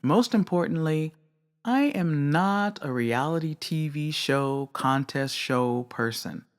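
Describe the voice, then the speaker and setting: A woman hosts a craft podcast and talks through her views by herself. She is measured and candid and says plainly what she thinks. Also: low voice, animated, clean tone. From not British. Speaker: podcast host. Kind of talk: reflective solo commentary.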